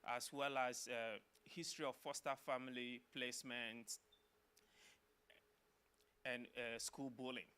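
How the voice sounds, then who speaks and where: A middle-aged man from Ghana, a professor answering interview questions in a measured, explanatory way with some filler uhs. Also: medium pitch, steady tone, sharp tone, clean tone. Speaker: guest, social work professor.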